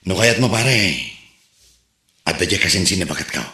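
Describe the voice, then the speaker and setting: A man's voice: low voice, expressive, normal speed, pushed and bright, reverberant. A man with an Ilocano accent acting a joking friend in a comedy skit, laughing often.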